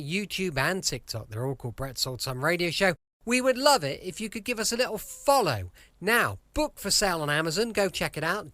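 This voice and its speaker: An English man introduces his podcast in a chatty, rambling style. His voice is fairly high and swings up and down a lot. The recording is close and dry. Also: a bit bright, no room sound. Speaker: podcast host.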